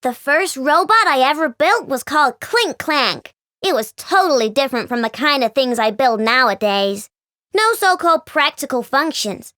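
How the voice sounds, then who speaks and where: Bubbly kid tinkerer: high, lively voice, close dry game recording. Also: female voice, no room sound. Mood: playful. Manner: bubbly, lively, quick mood swings. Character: bubbly young girl robot inventor, chatty and playful. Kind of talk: video game voice lines.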